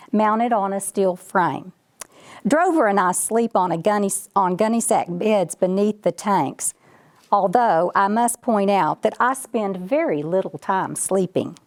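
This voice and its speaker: Woman reading as boastful folksy ranch dog, lively pitch. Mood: self-important. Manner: bragging, chatty, addressing the listener directly. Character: boastful, folksy male ranch dog, self-important security chief. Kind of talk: children's storybook read-aloud.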